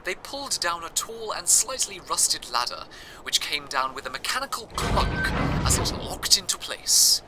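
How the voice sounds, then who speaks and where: A man playing an earnest, awed broadcaster from a fantasy city, narrating a scripted radio drama in a measured, wistful way. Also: high voice, wildly swooping pitch, music in the background, heavily acted. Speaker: radio host.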